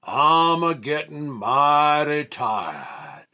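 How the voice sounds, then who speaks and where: A man voicing a weary, folksy old country woman in 1940s radio comedy, with a slow rustic drawl and slightly muffled sound. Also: on the high side, expressive, sharp tone, full character voice.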